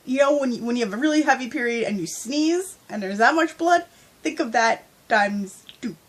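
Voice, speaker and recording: A woman's voice: fairly high pitch, expressive, normal speed. A young woman vlogging from her bed on a handheld phone, talking candidly with lots of fillers, stuffy from a head cold. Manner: chatty and candid, with frequent fillers. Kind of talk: casual storytime vlog. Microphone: handheld phone at arm's length.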